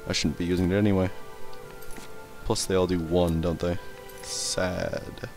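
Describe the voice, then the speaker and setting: Guy with a lowish voice doing a lets play, rambly, swears a bit, stumbles on big words. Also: level tone, a little slow, gravelly, over music. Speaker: let's play gamer and commentator. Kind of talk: casual gameplay commentary and reading in-game text aloud.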